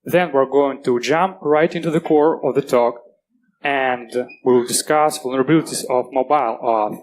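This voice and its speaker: A man's voice: medium pitch, poor audio. Russian-accented man giving a security talk. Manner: earnest, plain-spoken, halting restarts. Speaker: conference speaker. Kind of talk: technical conference talk. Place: conference stage.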